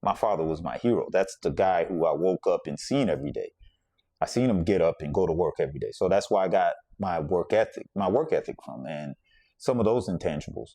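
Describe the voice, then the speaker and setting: A man's voice: sits low, lively intonation, brisk, warm tone, no room sound. A man from the Bahamas hosting a podcast, telling a personal story in a reflective, conversational way and circling back to repeat his points. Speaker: podcast host.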